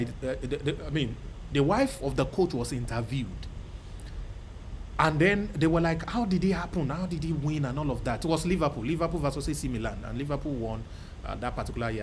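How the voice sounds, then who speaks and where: A man giving a motivational talk, emphatic and repetitive, breaking into sudden loud exclamations. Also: fairly high pitch, slight background noise. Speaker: motivational speaker.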